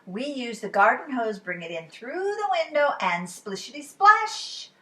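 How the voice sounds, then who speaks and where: A woman voices a playful imaginary pal from a picture book. He is breezy and coaxing, with a high voice that bounces around at an unhurried pace. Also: sing-song, bright, forceful tone, reverberant, recorded from a distance, full character voice. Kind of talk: children's storybook read-aloud.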